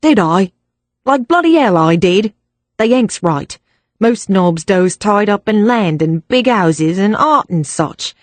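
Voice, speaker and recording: A rough male Cockney crook, voiced by a woman narrator, talks bluntly in thick slang. The recording is dry and close, with no room sound. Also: mid-range voice, animated, slow pace, dry recording. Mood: gruff.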